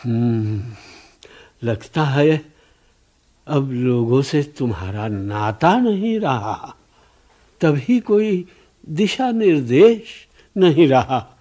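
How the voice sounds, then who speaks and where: An actor playing a frail, breathless old revolutionary in a radio drama, affectionate and mournful, speaking haltingly. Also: male voice, mid-range voice, lively intonation, dark tone. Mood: mournful, probing. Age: older. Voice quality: breathless, weary. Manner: halting, drawn-out speech with rhetorical questions.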